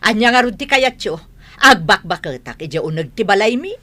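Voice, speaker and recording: A woman's voice: mid-range voice, big pitch swings, normal speed, a bit bright. A woman playing a defiant grown daughter in a radio drama, arguing defensively with an Ilocano accent. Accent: Ilocano Filipino. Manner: defensive, argumentative. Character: defiant grown daughter fond of mahjong gambling.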